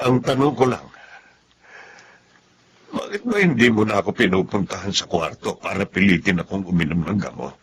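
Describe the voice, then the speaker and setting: A man's voice: low voice, expressive, normal speed, pauses often, breathy edge. An actor playing a stern, hurt, ailing father in a Tagalog radio drama, speaking in formal, reproachful phrases. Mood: tender, plaintive. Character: aggrieved, stern ailing father of grown children.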